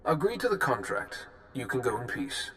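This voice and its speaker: Suave, menacing noble assassin. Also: male voice, mid-range voice, big pitch swings, normal speed, fairly bright tone. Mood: politely menacing. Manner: formal, dry, politely threatening. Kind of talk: video game dialogue acting.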